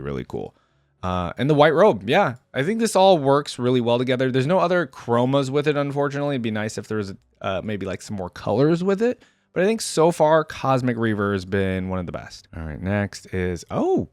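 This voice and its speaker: A man with a fairly low voice, recorded close and dry with little room sound. He gives casual reaction commentary as a host, thinking aloud in an informal, conversational way. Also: a little mellow, dry recording. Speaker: video game reaction host.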